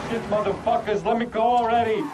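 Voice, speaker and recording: An actor playing a desperate, defiant captive in a crime game, cursing and shouting frantically. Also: male voice, sits high, fairly even intonation, fast talker, very noisy, lightly acted. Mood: defiant, frantic.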